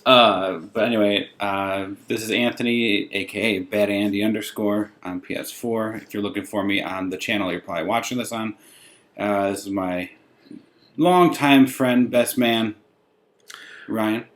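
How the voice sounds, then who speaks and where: A man's voice: on the low side, steady tone, unhurried, fairly bright tone. A man hosting a podcast in a casual, jokey, self-deprecating chat with his friend. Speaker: podcast host.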